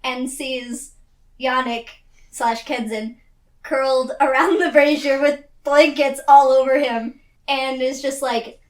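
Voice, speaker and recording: A woman's voice: sits high, fairly even intonation, slow. A giggly woman improvising as a player in a tabletop roleplaying game, often breaking into laughter. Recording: roomy, distant mic.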